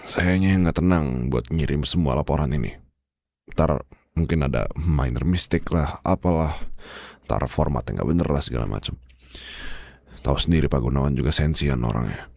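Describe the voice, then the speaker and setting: Guy acting as stern indonesian office boss with a fever, super deep voice, dry close sound, asmr roleplay. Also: normal speed, vocal fry, a little mellow, no room sound, full character voice. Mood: stubborn, weary. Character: stern, demanding, proud male office boss, sick with fever.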